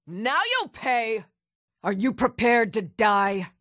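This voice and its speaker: A woman's voice: a little high, expressive, slow, a bit bright, dry recording, clean studio sound. A woman playing a stern, challenging Nord townswoman ready for a fight in a fantasy video game. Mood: fierce. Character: fierce, even-tempered Nord townswoman warrior, commoner in a fantasy world. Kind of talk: fantasy video game combat and reaction lines.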